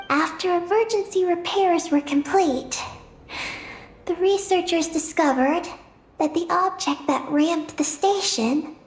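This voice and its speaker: A woman playing an earnest, determined young girl on a mission in a video game, speaking haltingly and solemnly. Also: high-pitched, roomy sound, poor audio.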